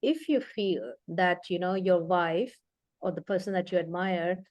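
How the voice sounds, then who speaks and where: A woman's voice: on the low side, unhurried, a little mellow, dry recording, dull audio. Woman, leadership coach, thoughtful, many fillers, dry recording. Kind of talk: conversational explanation.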